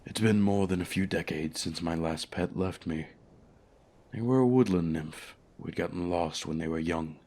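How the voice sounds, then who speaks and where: A man voicing an ancient, lonely, haughty male dragon in a fantasy audio roleplay, speaking in a formal, measured, courteous way. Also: low-pitched, darkish tone. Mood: wistful, tender.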